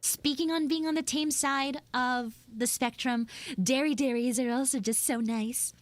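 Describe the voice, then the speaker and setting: A woman voicing a defensive, flustered tsundere anime schoolgirl who acts mean but is secretly soft, in an improvised livestream roleplay. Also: high-pitched, steady tone, no echo. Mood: sheepish. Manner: defensive, pouty, halting and repetitive. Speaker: livestreamer and VTuber voice actress. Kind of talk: improvised live audio roleplay with chat asides.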